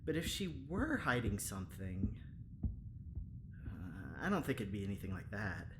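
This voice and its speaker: An actor playing a talkative, well-meaning prison guard in an audio drama, chatty and coaxing in manner. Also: male voice, lowish voice, sing-song, normal speed. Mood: musing. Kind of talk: audio drama acting, spoken log. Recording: clearly noisy, ambient background.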